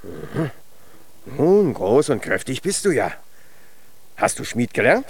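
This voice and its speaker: An actor playing a middle-aged dwarf blacksmith, a gruff, hearty and domineering father in a children's radio play. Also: mid-range voice, big pitch swings, slow pace, full character voice. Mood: hearty, brisk. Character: blustery, ambitious dwarf blacksmith, father of a grown daughter.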